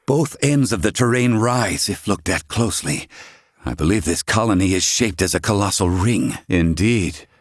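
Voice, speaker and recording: A man's voice: lowish voice, animated, normal speed, no room sound, studio quality. A man playing an erudite, haughty yet curious scholar in a video game, speaking in a formal, eloquent way with archaic wording. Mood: explanatory.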